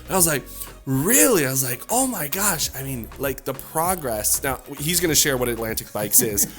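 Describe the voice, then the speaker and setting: A man hosting an expat interview show, enthusiastic and chatty, full of fillers and quick agreements. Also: mid-range voice, sing-song, faint music behind. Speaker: podcast host. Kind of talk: casual interview. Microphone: improvised mechanic-shop tool mic.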